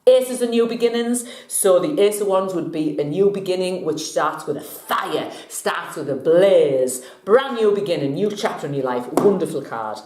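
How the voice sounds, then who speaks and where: A woman's voice: on the low side, animated, normal speed, few pauses, darkish tone, roomy sound. Chatty woman with a slight cold. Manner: chatty and jokey, laughs often. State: has a cold, a bit scratchy. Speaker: vlog host, tarot reader. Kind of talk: casual tarot teaching vlog.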